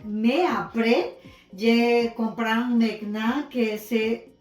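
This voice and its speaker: A middle-aged woman gives an informal testimonial slowly, searching for words in non-native French. She is in an echoey room, with faint music behind her. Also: fairly high pitch, a little mellow. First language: not French. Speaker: mother giving a testimonial.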